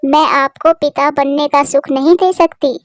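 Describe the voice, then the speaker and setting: Woman playing a gentle cartoon bird wife, high voice, earnest, pleading, self-sacrificing, close dry sound. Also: fairly even intonation, a little slow, no room sound. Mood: tearful, despairing. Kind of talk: Hindi cartoon voice acting.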